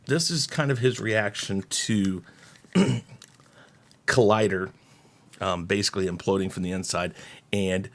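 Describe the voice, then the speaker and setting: Guy with a low voice doing casual youtube opinion commentary, kinda rambly and informal.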